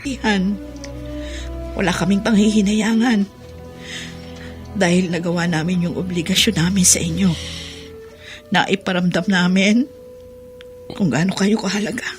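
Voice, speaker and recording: An actress playing a tender, dutiful grown daughter in a Filipino radio drama, with an earnest, emotional delivery. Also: female voice, medium pitch, a little mellow, natural voice. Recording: music bed behind the voice.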